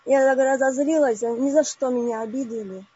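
A woman in her forties calling in to a live online session, telling a personal story haltingly with many broken-off words. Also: high-pitched, warm tone, breathy edge, highs cut off. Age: 40s. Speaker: caller.

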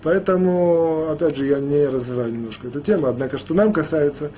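A man gives a spoken Torah lesson, his voice sitting a little above the usual pitch and sounding mellow and dark. He talks haltingly, restarting words and filling pauses, with a faint hiss of noise behind him. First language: Russian, rarely used in daily life. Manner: halting, many restarts and "э" fillers. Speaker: rabbi teaching a Torah lesson.

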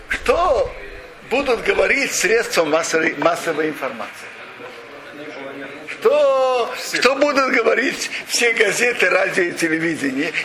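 A man giving a religious lesson, retelling an old story with rhetorical questions and frequent restarts. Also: high-pitched. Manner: frequent restarts and self-corrections. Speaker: religious teacher. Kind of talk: Torah lesson retelling a midrash. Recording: steady background noise.

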